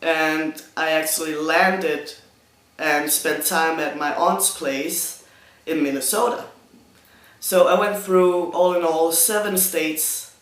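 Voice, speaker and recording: A young Danish man with a Danish accent, answering viewer questions in a casual vlog, hesitant and self-conscious with many pauses and restarts. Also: a little high, fairly bright tone, echoey room. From Denmark. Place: room in front of a green screen.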